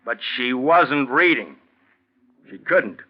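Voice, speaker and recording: Sardonic American cop, swinging delivery. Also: male voice, medium pitch, expressive, slow pace. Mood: sardonic, grim. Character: stern, sardonic male police detective making an arrest. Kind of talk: 1940s radio crime drama acting. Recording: dull, lo-fi 1940s radio recording.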